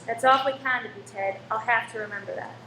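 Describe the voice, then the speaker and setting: Radio play lead: exasperated, businesslike woman actress, high voice, roomy sound with faint noise. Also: fast talker, bright, forceful tone. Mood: polite. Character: brisk, exasperated woman running a cinema. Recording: echoey room, faint background noise.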